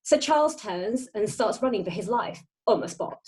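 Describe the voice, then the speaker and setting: A woman's voice: medium pitch, lively intonation, moderate pace, a little mellow, poor audio. A woman narrator reading a play's stage directions as dramatic scripted narration. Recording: home internet call.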